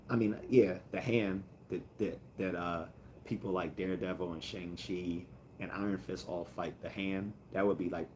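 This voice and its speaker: A man with a very low, calm voice chats loosely on his livestream and answers viewer comments as they come in. He rambles, restarts and fills gaps with "um" and "uh". A faint noise runs behind him. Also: poor audio. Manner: rambling, with many fillers and restarts. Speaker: livestream host. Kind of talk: casual livestream chat, reading comments.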